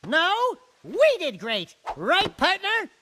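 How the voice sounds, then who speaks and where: A man's voice: extremely high pitch, huge pitch swings, pushed and bright, a little hiss or hum, exaggerated voice. A man voicing a cocky, wisecracking small furry animal sidekick in a video game cartoon, boastful and theatrical. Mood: cocky, excited. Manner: boastful, fast-talking, theatrical.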